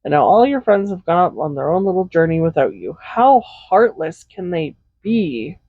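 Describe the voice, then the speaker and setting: A man's voice: sits high, big pitch swings, normal speed, no echo, narrow, tinny audio, full character voice. A man playing a tender, possessive villain in an intimate roleplay audio drama, speaking in a soothing, coaxing, reassuring way. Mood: pointed sympathy. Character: tender, possessive villain comforting a captive loved one. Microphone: close USB condenser microphone.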